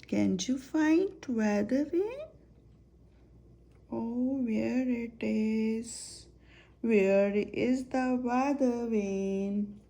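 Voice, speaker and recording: A woman's voice: on the high side, very slow, gravelly, mellow. A woman reading a children's search-and-find picture book aloud, naming items one by one and asking simple questions.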